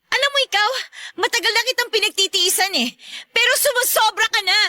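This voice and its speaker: A woman's voice: very high voice, a little fast, sharp tone, dry recording, clean studio sound, exaggerated voice. A young woman playing a fiery, indignant student daughter in a Tagalog radio drama, confrontational and melodramatic. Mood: angry. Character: angry, fiery student daughter standing up to her stepmother.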